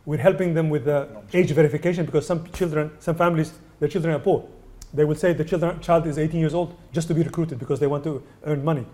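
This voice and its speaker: A man from Sudan, a UNICEF representative, answering press questions in a measured way with many hesitations. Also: on the high side, steady tone, warm tone. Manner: measured, many ums and uhs, restarts. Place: indoor venue.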